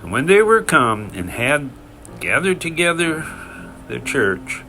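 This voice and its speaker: A man reading scripture aloud in a solemn narrated style, with deliberate, emphatic phrasing and pauses. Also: fairly high pitch, big pitch swings. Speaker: scripture reader. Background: background music.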